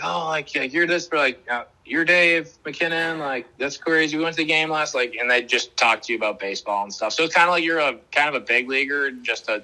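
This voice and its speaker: A young man from the Boston area, a minor league ballplayer, answering interview questions over a video call in a relaxed, rambling way with lots of fillers. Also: medium pitch, rough recording. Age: young adult. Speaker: minor league baseball player, guest.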